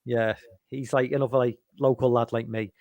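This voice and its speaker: A man's voice: medium pitch, level tone, normal speed, darkish tone, no echo. A man in his forties with a Liverpool accent hosting a casual stream, rambling and restarting his words. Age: 40s. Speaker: stream host. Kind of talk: casual chat.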